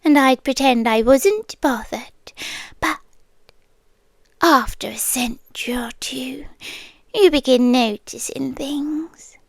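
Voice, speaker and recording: Woman acting a breathy, possessive immortal girlfriend, very slow. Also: high-pitched, no echo, full character voice. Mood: wistful menace. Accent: British. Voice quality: breathy, often whispered. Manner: slow, deliberate, pausing between short phrases. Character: possessive, centuries-old inhuman girlfriend, sweetly menacing. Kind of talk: ASMR yandere roleplay.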